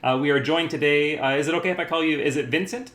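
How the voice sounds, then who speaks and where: A man's voice: on the high side, fast talker, fairly bright tone. Stream host man in an echoey room. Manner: loose, stumbling welcomes. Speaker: reading group host. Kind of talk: live stream hosting. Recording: roomy echo.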